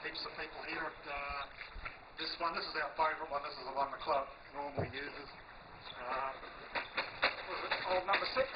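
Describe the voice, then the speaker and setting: An Australian man giving a casual, unscripted walkthrough of equipment outdoors in a park, with a hesitant, colloquial, laid-back delivery over muffled, noisy transmission audio. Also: a little high. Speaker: amateur television operator presenting from a portable station.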